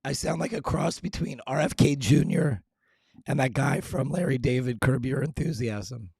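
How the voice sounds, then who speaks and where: A man hosting a live stream, his voice hoarse and raspy, talking low and clearing his throat, making fun of how he sounds. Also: moderate pace, darkish tone, dry recording, studio quality. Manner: self-deprecating, frequent throat clearing. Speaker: show host. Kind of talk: casual live-stream chat.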